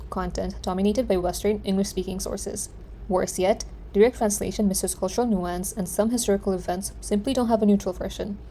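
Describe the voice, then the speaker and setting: A female student giving a scripted class presentation in an earnest, steady delivery. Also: mid-range voice, slight background noise.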